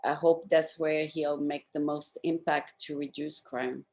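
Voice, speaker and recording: Low-pitched woman. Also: flat delivery, unhurried, darkish tone. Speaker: talk show host. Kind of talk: casual conversational interview.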